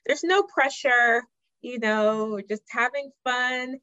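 A woman's voice: on the high side, takes it slowly, bright, forceful tone, no echo, muffled recording. A woman from Ghana, a marketing director, chatting easily in an interview with lots of ums and you-knows. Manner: easygoing, many "um"s and "you know"s. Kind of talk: casual interview chat.

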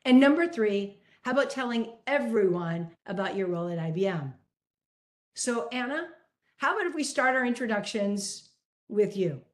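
A woman's voice: medium pitch, big pitch swings, normal speed. A woman hosting a podcast, introducing the show and guests with an enthusiastic, emphatic delivery. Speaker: podcast host. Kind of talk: scripted podcast introduction and moderating. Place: South Florida.

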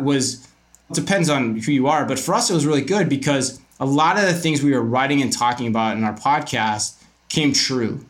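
A man's voice: mid-range voice, a bit bright. A middle-aged man speaking as an interview guest, telling his story in a candid, emphatic, talkative way. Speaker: guest, retail media co-founder and commentator.

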